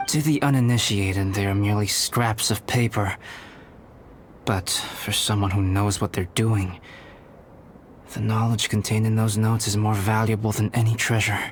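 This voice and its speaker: A male actor playing a calm, reserved young alchemist in a video game, speaking in a measured, formal, analytical way. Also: fairly low pitch, slight background noise, lightly acted. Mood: pensive. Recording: background music under the voice.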